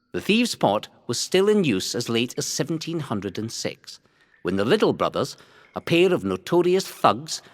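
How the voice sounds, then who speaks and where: A man reading scripted historical narration in a measured, dramatic storytelling way. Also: mid-range voice, dry recording. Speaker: narrator of a local history audio guide. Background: music.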